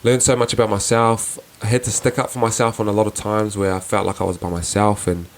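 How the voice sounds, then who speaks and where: A young man with a soft, fairly low voice talks through his thoughts on his own podcast. He sounds earnest and reflective, often restarting sentences and pausing on fillers as he works out what he means. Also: little pitch movement, a little hiss or hum. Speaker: podcast host. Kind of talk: casual reflective solo podcast.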